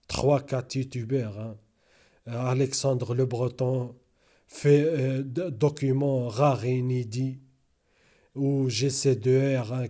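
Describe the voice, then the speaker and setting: A man's voice: medium pitch, dark tone. A man who is not a native French speaker talks straight to his viewers, rambling, hesitating and circling back to repeat himself. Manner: rambling, repeats "vous voyez" often; hesitant, many fillers and restarts. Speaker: YouTuber. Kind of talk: casual monologue to camera.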